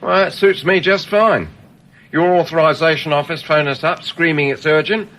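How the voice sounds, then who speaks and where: An actor in a radio drama playing a cocky working-class driver, blunt, clipped and informal. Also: male voice, on the high side, normal speed, a bit bright. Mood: bluffing, impatient. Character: cocky working-class male getaway driver posing as plumber. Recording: noisy vehicle ambience behind voice.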